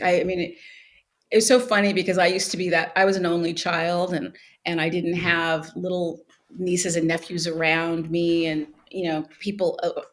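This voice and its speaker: Interview guest: middle-aged woman, lowish voice, reflective, laughs easily. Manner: reflective, laughs often, many restarts. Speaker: guest, actress and author. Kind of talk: conversational interview.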